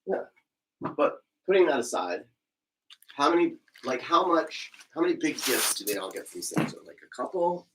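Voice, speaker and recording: A man with a lively, up-and-down voice joins a casual home chat, tossing out questions and wry jokes. Faint background noise hums underneath. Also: fairly high pitch, moderate pace, low-quality recording. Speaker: host's partner.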